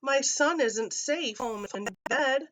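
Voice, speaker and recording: Woman playing a storybook frog mother, high, wailing, dramatic, doting, distressed. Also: takes it slowly, noisy background. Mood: wailing, desperate.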